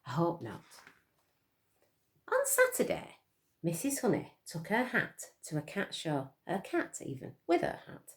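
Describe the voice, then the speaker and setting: A woman's voice: on the low side, huge pitch swings, a little slow. A woman reading a picture book aloud to young children, expressively, with questions to her listeners. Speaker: storytime reader for children.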